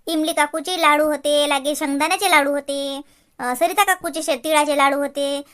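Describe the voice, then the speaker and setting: A woman's voice: sits high, steady tone, moderate pace, fairly bright tone. A woman playing a sarcastic, chatty young daughter-in-law in a cartoon comedy, mocking and repeating herself in a Varhadi Marathi accent. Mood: gleeful, teasing. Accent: Varhadi Marathi from Vidarbha. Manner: mocking, repetitive, gossipy. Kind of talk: exaggerated cartoon comedy acting.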